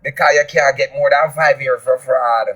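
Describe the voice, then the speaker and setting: A man with a Jamaican accent, boasting loudly and often shouting in a casual live chat. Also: medium pitch, steady tone, moderate pace.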